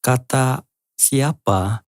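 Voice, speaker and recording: A man's voice: mid-range voice, drawn-out words, no echo. A man hosting an explainer, reading scripted narration in an informal, lightly joking way with casual asides. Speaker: host of a lyric-analysis channel.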